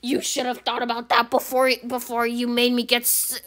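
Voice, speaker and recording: A woman voicing an angry male customer who speaks slowly and accuses sternly. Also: fairly high pitch, monotone, a bit bright, close to an everyday voice.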